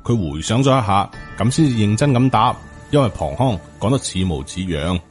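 A man narrates a supernatural story in Hong Kong Cantonese. His intonation moves in lively swings as he reads the scripted tale. Soft music plays behind him. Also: fairly low pitch, moderate pace. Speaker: audiobook narrator. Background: background music.

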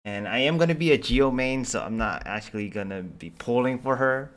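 A man's voice: medium pitch, animated, a little fast, fairly bright tone, slight background noise. A young man giving casual, hesitant live commentary as a streamer reacting to a video. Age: young adult. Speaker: streamer reacting to a game trailer. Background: game trailer music.

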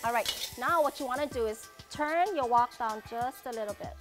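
A woman's voice: a little high, normal speed. A woman in her thirties hosting a cooking show, explaining each step in a friendly way while she cooks in a big home kitchen on a lapel mic. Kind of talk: cooking demonstration. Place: large home kitchen, indoors. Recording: background music and frying sizzle.

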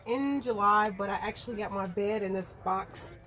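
A woman's voice: mid-range voice, normal speed. A woman casually explaining her van setup as its owner, recorded outdoors on a handheld camera. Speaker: van dweller showing her van. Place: outdoors in open desert, in and beside a van. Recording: outdoor camera audio with crowd noise.

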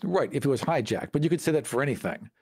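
A man's voice: mid-range voice, lively intonation, quick pace, husky. A man co-hosting a podcast, chatting casually and off the cuff about tabletop games. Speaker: podcast co-host. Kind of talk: casual chat about tabletop game monsters.

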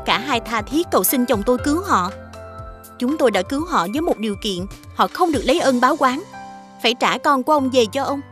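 A woman playing a kind but firm young princess in an animated fairy tale, composed and admonishing. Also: sits high, fairly quick, soft background music. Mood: calm, kind.

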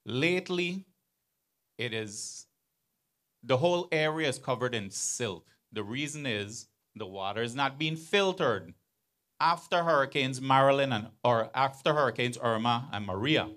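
A man's voice: medium pitch, expressive, a little slow, fairly bright tone. A man in the audience asking a pointed question at a town hall into a handheld mic, earnest and building in emphasis. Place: large outdoor venue with audience.

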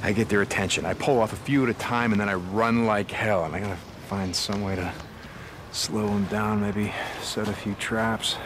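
An actor playing a gruff, guarded outlaw biker turned militia corporal in a video game, with an American accent. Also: male voice, on the low side, fairly even intonation, moderate pace, background noise, lightly acted. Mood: determined. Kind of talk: video game cutscene acting. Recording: game cutscene with music behind.

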